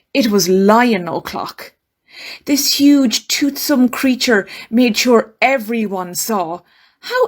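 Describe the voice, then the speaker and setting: A woman reading a rhyming children's story aloud as a storyteller, expressively and with dramatic pauses. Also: a little high. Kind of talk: scripted children's verse reading.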